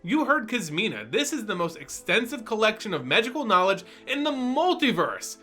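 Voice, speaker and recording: Young twin brother mage, acted by a male narrator: worried, insistent, bright lively voice, music under fantasy story. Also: sits high, normal speed, pitched above the performer's own voice, exaggerated voice. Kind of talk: dramatic fantasy story reading.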